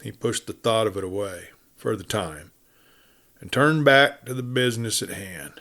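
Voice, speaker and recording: A man reading a western tale as a dramatic storyteller, deliberate and dropping to a hush at phrase ends. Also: fairly low pitch, creaky. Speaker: storyteller, audiobook reader.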